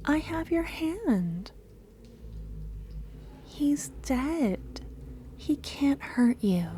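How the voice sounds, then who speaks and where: A woman playing a loving, possessive female cultist kidnapper in a soft-spoken ASMR roleplay, breathy and coaxing, drifting into whispers. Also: medium pitch, a little hiss or hum. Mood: hushed, soothing.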